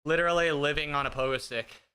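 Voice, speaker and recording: Thirties male streamer, a bit high and bright, joking casually with chat over a desk mic. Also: fast talker, clear, resonant tone. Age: 30s. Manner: joking, self-deprecating, chatty; talks while riding an indoor bike. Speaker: fitness streamer. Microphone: streaming desk mic with noise gate.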